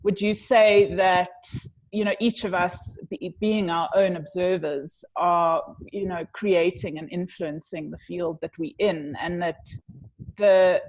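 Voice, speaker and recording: Slow, lower-voiced middle-aged woman interviewing. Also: darkish tone, low-quality recording. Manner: tentative, pausing mid-sentence. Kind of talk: conversational interview questioning. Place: small indoor room. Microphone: earphone headset on video call.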